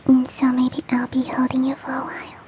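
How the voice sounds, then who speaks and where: A woman voicing a big, fluffy, motherly and flirty yeti in a soft, teasing, whispered ASMR roleplay. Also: sits high, completely flat delivery, a little fast, a little hiss or hum, lightly acted. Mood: reassuring, cozy. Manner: tender, teasing, coaxing.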